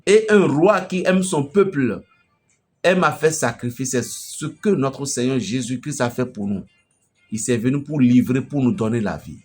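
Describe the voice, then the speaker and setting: A man from Benin with a Beninese French accent, preaching an emphatic, storytelling message to a fellow pastor. His voice swells loudly at the climaxes. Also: mid-range voice, expressive, a little slow, slightly rough, faint music behind. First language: a local Beninese language.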